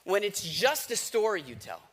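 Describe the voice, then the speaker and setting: Casting: American male pastor, high forceful voice, conversational sermon delivery, emphatic repetition. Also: very animated, moderate pace. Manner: emphatic, repeats phrases for effect. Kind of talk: conversational sermon with rhetorical questions.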